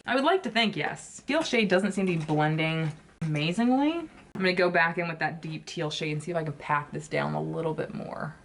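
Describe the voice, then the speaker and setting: A woman's voice: low voice, animated, a little fast, creaky, slight background noise. A woman beauty vlogger chatting casually while she does her makeup, swearing often and gushing about products.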